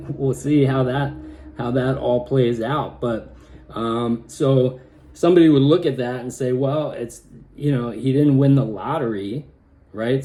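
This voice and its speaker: A man talks at an unhurried, slow pace in a casual personal vlog, and his voice sounds slightly raspy and hoarse. His tone is mellow and his delivery is halting, with frequent restarts. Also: medium pitch. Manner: halting, many restarts and fillers. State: hoarse from pollen. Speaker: vlogger.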